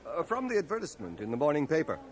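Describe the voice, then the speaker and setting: An actor playing a proud, dry, scholarly middle-aged schoolmaster in a radio drama, speaking formally and precisely. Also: male voice, on the high side, wildly swooping pitch, rapid-fire. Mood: earnest admiration. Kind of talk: 1980 radio drama acting. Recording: home cassette transfer of radio drama.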